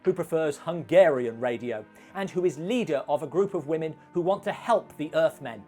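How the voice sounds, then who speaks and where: A woman's voice: low voice, animated, moderate pace, dark tone, faint music behind. A woman narrating a scripted film review in a dry, sarcastic manner.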